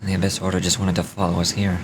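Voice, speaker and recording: Deep, grave, commanding game character. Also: male voice, completely flat delivery. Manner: measured, serious. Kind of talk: video game voice acting. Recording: noisy game audio with ambience behind.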